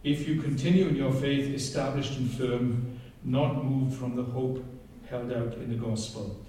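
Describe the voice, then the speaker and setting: A man's voice: medium pitch, steady tone, unhurried, dark tone. A man with a Welsh accent preaching a passionate sermon and reading scripture aloud, often picking up speed as he goes. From Wales. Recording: very echoey hall, heard from a distance.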